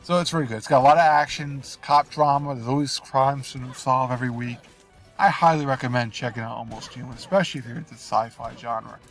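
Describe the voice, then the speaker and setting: A congested, nasal man talks off the cuff from behind the wheel of his car, wandering from point to point and apologizing for his slips. His voice has a gravelly, rough edge. Faint music plays underneath. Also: medium pitch, quick pace, rough recording. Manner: rambling, apologetic, unprepared. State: congested from a cold. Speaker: gaming video host. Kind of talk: casual rambling commentary over gameplay. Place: in a car while driving.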